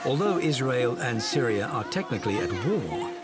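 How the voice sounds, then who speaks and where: Lively male documentary narrator. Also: medium pitch, normal speed, a loud crowd. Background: loud music.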